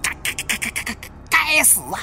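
A man's voice: very high-pitched, very animated, moderate pace, bright, forceful tone, soft background music, pitched above the performer's own voice, exaggerated voice. A man voicing an agitated tomb robber with a heavy stutter, in an audiobook. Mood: furious, exasperated.

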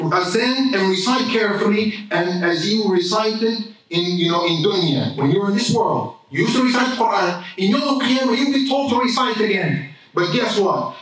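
A man's voice: sits high, a bit bright. A man in his thirties giving a Friday sermon at a mosque lectern, preaching with emphatic swells and Arabic phrases. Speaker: imam. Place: mosque prayer hall, indoors. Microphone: stand mic at a lectern, filmed from far camera. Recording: very echoey hall, voice from a distance.

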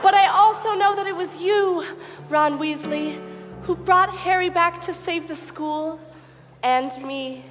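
A woman as a high-voiced, self-assured teenage witch. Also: unhurried, fairly bright tone. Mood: earnest. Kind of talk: comic musical stage reading. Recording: reverberant theatre hall, music behind.

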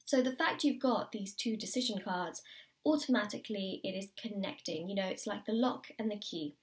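A woman giving a tarot reading to the viewer, her voice slightly croaky, encouraging and repeating key phrases. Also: mid-range voice, normal speed, distant mic. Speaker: tarot reader. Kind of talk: pick-a-card tarot reading to camera. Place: indoors at a table.

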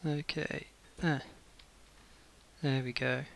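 A man's voice: mid-range voice, flat delivery, slow pace, gravelly, a bit bright. A man giving casual gameplay commentary as he builds, muttering to himself and thinking aloud. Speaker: gaming video creator. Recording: faint hiss and clicking.

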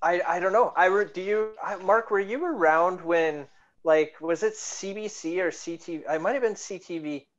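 A man in his thirties from Canada co-hosts a podcast over a video call, talking with eager excitement and casual swearing. His voice sits a bit high. The call audio sounds dull and lo-fi. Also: moderate pace. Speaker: podcast co-host. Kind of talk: casual chat. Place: home room with bookshelves, video call. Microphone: headphones, video call.

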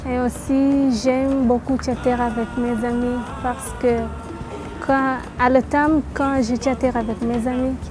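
A young woman student from Tanzania, giving careful, slightly halting interview answers. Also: sits high, flat delivery. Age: young adult. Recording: music playing behind.

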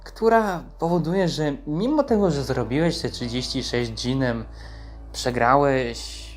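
A young man introduces a video in a casual, rambling way. He hesitates as he goes, with a mid-pitched voice and light music behind him. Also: unhurried. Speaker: gamer and amateur voice-over creator.

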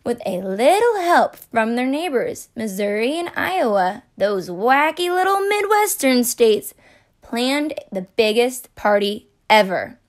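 Woman, storyteller, children's book read-aloud, playful, expressive, fairly high, very lively intonation, slow-paced. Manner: playful, deliberate, acting out asides.

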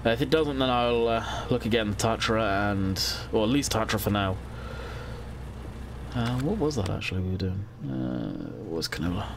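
A young man talks through his game in a loose, rambling way, sounding stuffed up and congested. His voice sits a little low. A steady hiss of noise runs under the home recording. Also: moderate pace, creaky. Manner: chatty and rambling, thinking aloud while playing. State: congested from a cold. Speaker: gaming channel host. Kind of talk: casual let's-play commentary.

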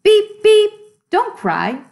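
A woman's voice: extremely high pitch, wildly swooping pitch, very slow, creaky, darkish tone, pitched above the performer's own voice, full character voice. A woman voicing an eager, kind, plucky little boy truck in a children's storybook, with encouraging exclamations.

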